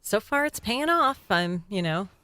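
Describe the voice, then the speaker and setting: A middle-aged woman from Wisconsin with a Wisconsin accent, a voice actor talking candidly and self-deprecatingly, laughing and clearing her throat often. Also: mid-range voice, animated, measured pace, pushed and bright, dry recording. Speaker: voice actor guest. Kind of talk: casual chat.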